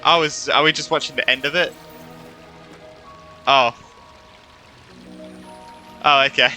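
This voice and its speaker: A man giving casual, exclamatory playthrough commentary as an excitable game streamer. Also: mid-range voice, slow, soft background music. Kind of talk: casual playthrough commentary, reading lines along.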